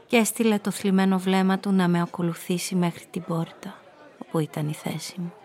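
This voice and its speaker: An actress playing a woman who narrates her own story in measured, atmospheric literary prose for a radio drama. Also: medium pitch, darkish tone, lightly acted. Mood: wistful. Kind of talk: scripted first-person literary narration.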